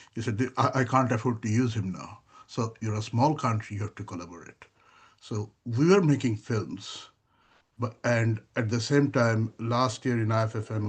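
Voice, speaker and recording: A man, a filmmaker, answering interview questions in a rambling, conversational way with frequent pauses. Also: on the low side, a little mellow, husky. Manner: rambling, many fillers and restarts.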